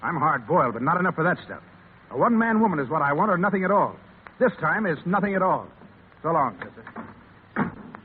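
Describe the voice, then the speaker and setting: A tinny old radio recording carries a hard-boiled American legionnaire. He talks fast and slangy, with lively swings in pitch, a proud soldier in a 1930s radio play. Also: a little hiss or hum. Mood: scornful, hard. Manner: slangy, tough-talking. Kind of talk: 1930s radio drama acting. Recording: tinny, band-limited 1936 radio broadcast.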